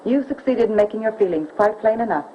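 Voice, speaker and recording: A woman's voice: medium pitch, animated, quick pace, muffled recording, close to an everyday voice. An actress playing a poised, refined young woman under suspicion in a 1930s film. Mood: cold. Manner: refined, cool. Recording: old film soundtrack with faint hiss.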